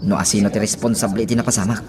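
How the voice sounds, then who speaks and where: A man's voice: on the low side, steady tone, rapid-fire, noisy background, lightly acted. A man with an Ilocano accent playing a dutiful police officer giving a report in a radio drama. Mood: brisk, dutiful.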